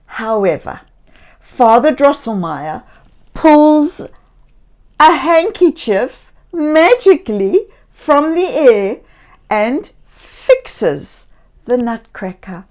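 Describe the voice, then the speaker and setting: Slow, expressive woman reading a children's story. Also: fairly high pitch. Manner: theatrical, with hushed whispers and exclamations; addresses young listeners with gentle questions. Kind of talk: expressive picture-book read-aloud for young children.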